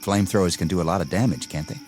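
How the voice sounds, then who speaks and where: An actor playing a zealous young army lieutenant in a radio drama, commanding and scornful in a clipped way. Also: male voice, low voice, very fast, darkish tone, natural voice. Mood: confident, cold. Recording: radio drama with music underneath.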